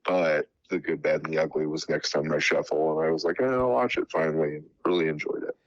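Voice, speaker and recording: A man co-hosting a casual pop culture podcast, sounding stuffy from a cold, relaxed and laid-back. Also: a bass voice, fast talker. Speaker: podcast co-host. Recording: slightly dull dry audio.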